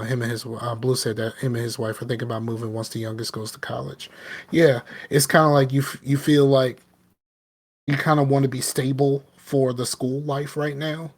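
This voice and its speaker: A middle-aged man from the American South with a Southern accent, chatting casually as a podcast co-host and rambling with lots of "you know what I mean". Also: mid-range voice. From Southern United States. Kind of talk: casual chat.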